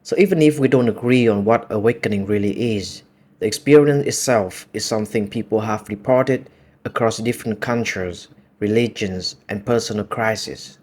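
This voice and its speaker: Calm low-voiced man with a Vietnamese accent. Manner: calm, measured, contemplative. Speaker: video essay host. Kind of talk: reflective monologue.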